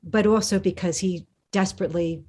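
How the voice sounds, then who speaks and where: A woman author in an interview, answering thoughtfully with frequent restarts and pauses. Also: lowish voice, fairly even intonation, a little mellow. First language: English. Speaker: historical fiction author, guest.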